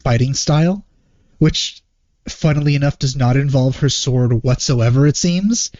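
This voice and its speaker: A male reaction host with a soft, dark tone talks casually and hesitantly, working out thoughts as he goes. The sound is close and dry but muffled, as from a poor microphone. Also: medium pitch, unhurried, dry recording. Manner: hesitant, rambling, thinking out loud. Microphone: wrong microphone, close and dry.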